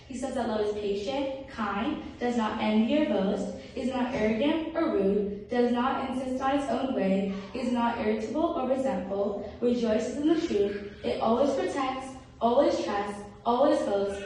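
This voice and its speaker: A woman's voice: fairly high pitch, moderate pace, hardly any pauses, a little mellow. A woman presenting a children's Bible lesson to a live audience as a puppeteer. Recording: very echoey room, distant, faint noise.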